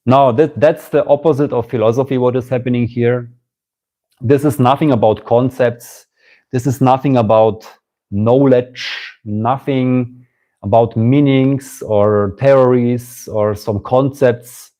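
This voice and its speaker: Soft-spoken German-accented man musing slowly. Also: mid-range voice, steady tone. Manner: slow, musing, repeats phrases, greets viewers. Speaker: spiritual teacher. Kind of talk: live-stream spiritual talk.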